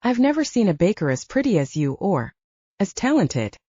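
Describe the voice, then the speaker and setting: A woman voicing a flirtatious, pushy man in an audiobook, with a flattering, suggestive way of talking. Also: medium pitch, normal speed, husky, no echo, highs cut off. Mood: flirty. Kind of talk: audiobook character dialogue.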